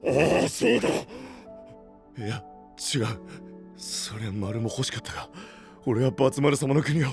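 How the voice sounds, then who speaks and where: A man playing a rough, brooding young knight in a voice drama, gruff and anguished, proud yet tormented. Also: medium pitch, animated, normal speed, faint music behind. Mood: agitated. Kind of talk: anime-style voice drama acting.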